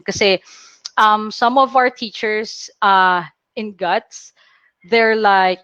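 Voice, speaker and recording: A woman's voice: medium pitch, fairly bright tone, no echo. Young Bicolano woman, Filipino accent, chatty webinar presenter, dry dull computer-mic sound. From Philippines, Bicol region. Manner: chatty, switches languages mid-sentence, many fillers. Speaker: webinar speaker, company operations officer. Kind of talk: casual online talk, English mixed with Tagalog.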